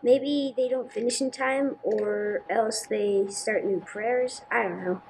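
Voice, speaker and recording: A woman's voice: on the high side, slow, creaky, darkish tone, slight background noise, low-quality recording. A woman playing an earnest, respectful young altar boy in an amateur radio drama, plain-spoken and a little hesitant. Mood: earnest, unsure. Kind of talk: 1950s radio drama acting, amateur church production.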